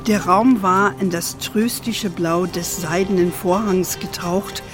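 A woman's voice: on the low side, fairly even intonation, over music. An actress playing an older grandmother with an American-tinged German accent, tenderly telling her family history in a children's radio play. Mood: tender, reminiscing. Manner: measured, tender, sprinkles in English endearments. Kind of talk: gentle bedtime storytelling in a children's radio play.

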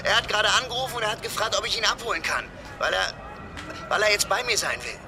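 An agitated, stammering young guy, lively pitch. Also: quick pace, noisy background. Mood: anxious, urgent. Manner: stammering, urgent, foul-mouthed. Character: anxious, agitated young man pleading with his best friend. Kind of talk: naturalistic radio drama dialogue.

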